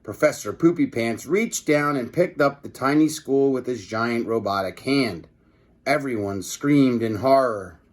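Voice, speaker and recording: Man reading aloud, medium pitch. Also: unhurried. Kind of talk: children's read-aloud with casual asides.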